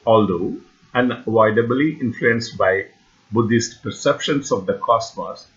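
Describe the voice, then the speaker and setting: A man with a fairly low voice and a Tamil Indian accent, native in Tamil, reads a prepared lecture. His phrasing is formal and deliberate. The recording sounds somewhat dull and lo-fi. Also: fairly bright tone. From India, Tamil region. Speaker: researcher and lecturer. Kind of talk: scripted lecture narration.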